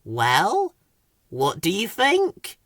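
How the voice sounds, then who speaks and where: A woman voicing a busy, slightly huffy female bird in a children's storybook, brisk and put-upon. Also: medium pitch, wildly swooping pitch, a bit bright, dry recording, studio quality, voice pushed up, full character voice. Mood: kindly.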